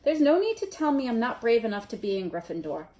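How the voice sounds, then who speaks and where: A woman's voice: fairly high pitch, very animated, fast talker, warm tone. A woman reading a storybook aloud as a timid, dejected young schoolboy with a shaky, mumbling manner.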